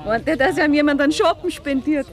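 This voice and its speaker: A woman's voice: high voice, a little fast. A woman with a Bavarian accent in casual, gossipy chat, colloquial and dry.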